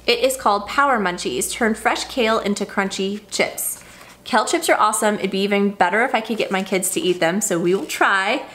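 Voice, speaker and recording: A woman's voice: medium pitch, brisk, fairly bright tone. A woman in her thirties chatting through an unboxing in her home kitchen, upbeat and gushing over each item. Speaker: vlogger. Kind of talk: casual unboxing chat.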